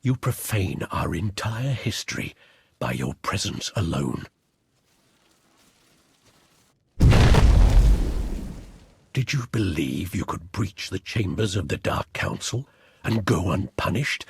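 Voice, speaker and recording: A grandiose, gravelly Sith villain. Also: male voice, low voice, big pitch swings, measured pace, full character voice. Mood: haughty menace. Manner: grandiose, theatrical, contemptuous. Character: arrogant, menacing male Sith lord of the dark side. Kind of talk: dramatic video game villain acting. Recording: loud music underneath. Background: loud game music.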